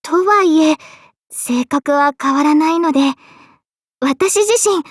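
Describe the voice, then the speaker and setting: A woman voicing an earnest, polite young mage heroine in a Japanese video game, with a clear, youthful voice. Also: high-pitched, takes it slowly, no echo, studio quality. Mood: polite, modest. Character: young girl mage, tomboyish, modest, earnest, later a solemn king. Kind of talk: Japanese game character voice lines.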